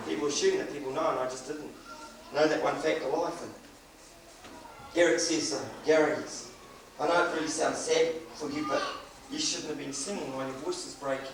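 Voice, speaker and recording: Middle-aged New man, high-voiced storytelling preacher, distant mic in a booming hall. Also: brisk, long pauses, background noise. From New Zealand. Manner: storytelling, chuckling, animated. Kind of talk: sermon with personal story. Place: church hall. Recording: echoey hall recorded from afar.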